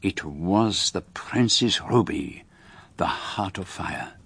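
An actor playing a fastidious gentleman detective with a Belgian French accent, narrating his story formally in a radio drama. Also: sits low, expressive, vocal fry, husky, exaggerated voice. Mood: hushed, observant. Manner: precise, formal, measured. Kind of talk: scripted first-person narration in radio drama.

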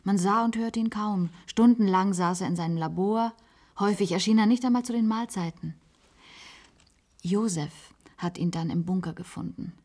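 Actress as a husky, wistful resigned woman. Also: mid-range voice, normal speed, a little mellow, close to an everyday voice. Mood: reflective. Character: wistful former secretary, resigned patient living in an isolated institute. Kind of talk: German science-fiction radio play acting.

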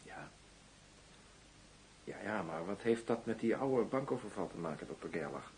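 An actor playing a guarded, loyal police inspector under questioning in a Dutch radio play, defensive and halting. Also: male voice, on the low side, lively intonation, darkish tone, slight background noise, lightly acted, low-quality recording. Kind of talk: Dutch radio drama dialogue.

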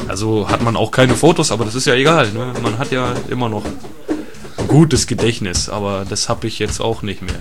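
A man's voice: on the low side, brisk, noisy background, close to an everyday voice. A man playing a naive, chatty tourist in an improvised absurd audio comedy, hesitant and repetitive, often tacking on tag questions. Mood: placid, chatty. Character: chatty, naive male tourist, placidly captured.

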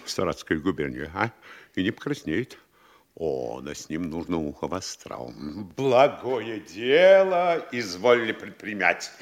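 An actor playing a scheming, obsequious middle-aged provincial mayor in a theatrical radio play, fawning aloud and muttering slyly to himself. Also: male voice, low voice, very animated, a little slow. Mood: sly, muttered aside. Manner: fawning speech broken by muttered sly asides. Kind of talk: theatrical Russian classic radio play acting.

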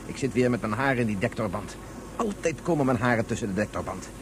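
A man's voice: medium pitch, lively intonation, quick pace, a little hiss or hum, lightly acted. A man playing a hasty, impatient father and spaceship pilot who grumbles and justifies himself in a science-fiction radio drama. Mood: irritated, grumbling.

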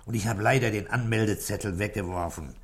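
Calm police commissioner, lowish voice, measured and polite, old radio play. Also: male voice, breathy edge. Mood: courteous, measured. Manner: measured, matter-of-fact, courteous. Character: composed, patient police commissioner, probing and courteous. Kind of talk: 1960s German radio play acting. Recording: vintage 1960s radio drama recording.

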